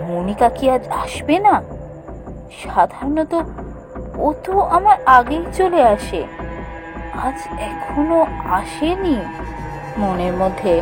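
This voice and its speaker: A woman voicing an anxious young female office worker who tells her ghost story in suspenseful, drawn-out phrases. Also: on the high side. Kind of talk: dramatic first-person horror narration for a cartoon. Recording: music under the voice.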